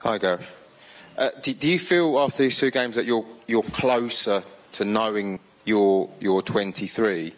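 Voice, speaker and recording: A man's voice: lowish voice, measured pace, rough recording. A male journalist asking a stammering question from the floor at a press conference. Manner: stammering, repeats words.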